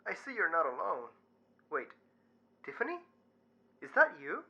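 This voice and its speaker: An actor playing a wry, anxious mortuary worker in an audio drama, measured in delivery, heard over a call. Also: male voice, fairly high pitch, wildly swooping pitch, gravelly, background noise, heavily acted. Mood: wry, surprised. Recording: dull, band-limited call sound.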